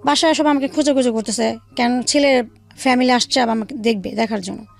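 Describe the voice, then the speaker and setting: High-pitched Bangladeshi woman, slightly creaky voice. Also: fast. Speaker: guest telling her own life story. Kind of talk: personal storytelling in a radio interview. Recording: faint music bed under the voice. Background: soft background music.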